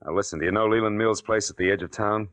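An actor playing a tough, wry private detective in an old-time radio drama, terse and sardonic. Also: male voice, lowish voice, steady tone, fast talker, a bit bright, natural voice. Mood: brisk, firm.

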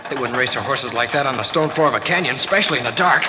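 An earnest young western lad , acted by a man talks in old-time radio style. His voice is medium in pitch. The recording is muffled and heavily noisy. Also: racing, lightly acted. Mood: hushed alarm. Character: earnest fourteen-year-old cowboy nephew, chatty with horse.

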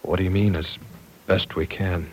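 An actor playing an earnest, apologetic university professor in an old-time radio drama, formal and courteous yet insistent. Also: male voice, low voice, steady tone, normal speed, darkish tone, a little hiss or hum, lightly acted, rough recording.

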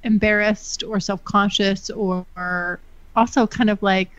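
A woman's voice: mid-range voice, steady tone, slow, a bit bright, clean tone, dull audio. A woman hosting a podcast, chatting casually and searching for her words with many fillers and restarts. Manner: hesitant, lots of fillers and restarts. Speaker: podcast host. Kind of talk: casual chat.

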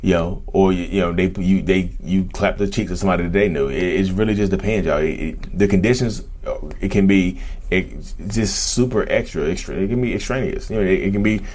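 A man in his thirties with a very low, rough-edged voice. He talks in a casual, slangy way straight to his audience. His delivery is emphatic, often circling back to repeat a phrase. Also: a little fast. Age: 30s. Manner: animated, repetitive and emphatic, slangy, acts out imagined dialogue. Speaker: YouTube host speaking about his own narcissism. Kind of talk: casual direct-to-camera talk.

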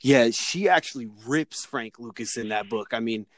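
A man on a remote call chatting as a guest, telling stories in a measured, hesitant way with many fillers. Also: mid-range voice, dry recording, studio quality. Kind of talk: casual podcast chat.

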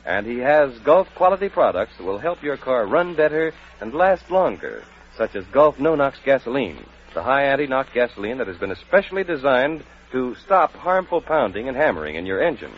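A man's voice: mid-range voice, big pitch swings, normal speed, hardly any pauses, slightly rough. An American man reading a 1940s radio advertisement as announcer, with folksy, emphatic salesmanship. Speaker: commercial announcer. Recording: dull vintage radio broadcast.

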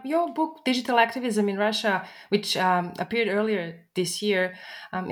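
A woman hosting a book podcast, introducing her guest and asking measured questions. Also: on the low side. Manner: measured, friendly questions with fillers. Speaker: podcast host. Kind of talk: interview hosting.

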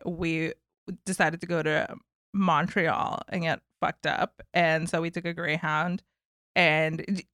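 A woman's voice: on the low side, sharp tone, clear, resonant tone, dry recording, clean studio sound. A young woman who was born in Japan and raised in New York City, chatting casually with many "like"s and frequent laughs. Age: young adult. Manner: chatty, laughs often, lots of "like". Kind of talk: casual chat.

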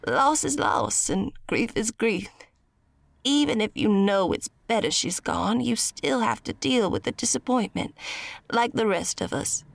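A woman playing a gentle, consoling member of a support group in an audio drama. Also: fairly high pitch, expressive, unhurried, vocal fry, dry recording, full character voice.

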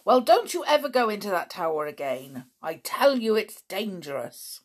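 A woman's voice: mid-range voice, very animated, normal speed. A woman voicing an irritable, absent-minded middle-aged male scientist who is vague one moment and furious the next. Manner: peevish and vague, bursting into fury.

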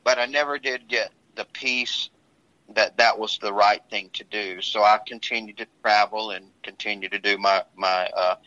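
Earnest preaching man with a bright voice. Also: on the low side, steady tone. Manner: earnest, measured, with many restarts. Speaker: pastor. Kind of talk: casual talk sharing a testimony. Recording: dull lo-fi sound.

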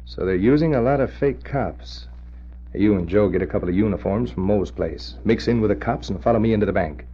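A man's voice: on the low side, brisk, mellow, breathy edge. An actor playing a smug, scheming gangster boss in an old radio drama, talking in cocky tough-guy slang. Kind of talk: 1940s radio acting. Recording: dull old radio recording.

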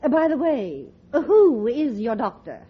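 An actress playing a prim, proper wealthy matron in a 1940s radio comedy. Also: female voice, high-pitched, sing-song, unhurried, husky, highs cut off, exaggerated voice. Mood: sympathetic.

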